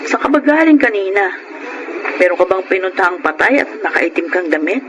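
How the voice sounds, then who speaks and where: An actress playing a gentle, wise and loving woman in a Filipino radio drama. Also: mid-range voice, lively intonation, a little fast, lightly acted, poor audio. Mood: gentle, philosophical. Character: gentle wise loving partner of a slum dweller.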